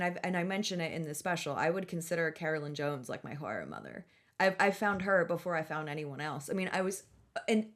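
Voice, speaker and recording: A woman co-hosting a podcast, chatting casually in a wry, gushing and emphatic way with plenty of slang. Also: deep voice. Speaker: podcast co-host and comedy performer. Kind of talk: casual podcast chat about horror films.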